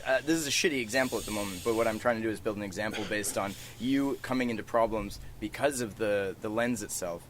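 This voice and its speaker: A man's voice: lowish voice, quick pace, hardly any pauses, slight background noise. A man hosting a casual podcast chat, talking in an informal, rambling way with some swearing. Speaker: podcast host. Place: outdoors on a porch.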